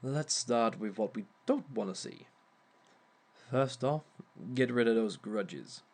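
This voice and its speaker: Guy doing a smug villain teaching a dating class, slow and drawn out, voice swoops up and down. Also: medium pitch, expressive, gravelly, full character voice. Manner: theatrical, sly, chuckling asides. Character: smug male villain giving a dating seminar. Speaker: villain relationship lecturer. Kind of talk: audio roleplay monologue.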